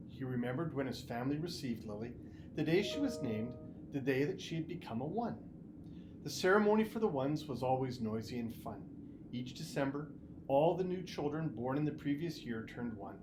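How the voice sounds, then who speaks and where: Animated man reading a story aloud. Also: mid-range voice. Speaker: school superintendent reading aloud. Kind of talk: expressive audiobook-style story reading. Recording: noticeable background noise and ambience.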